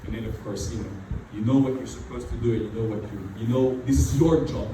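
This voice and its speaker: A man's voice: medium pitch, fairly quick. A middle-aged man whose first language is not English, preaching a sermon as pastor and repeating phrases with frequent "you know" fillers. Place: church hall. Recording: very echoey hall, far from mic, background noise.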